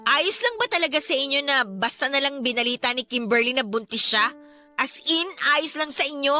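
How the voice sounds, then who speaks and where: Fed-up filipino daughter in radio drama, sarcastic, really high sharp voice, music under it. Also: female voice, animated, fairly quick, full character voice. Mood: earnest, hurt. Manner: indignant, emphatic, sarcastic. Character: frustrated, outspoken adult eldest daughter, dutiful but hurt. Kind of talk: Tagalog radio drama acting. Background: background music.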